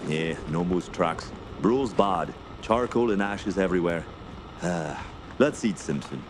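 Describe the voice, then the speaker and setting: Man acting a low-voiced, uneasy woodsman. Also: lively intonation, unhurried, background noise. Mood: gruff, folksy. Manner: rustic dialect, hesitant muttering. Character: uneasy backwoods Canadian guide, superstitious, evasive. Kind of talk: radio drama acting.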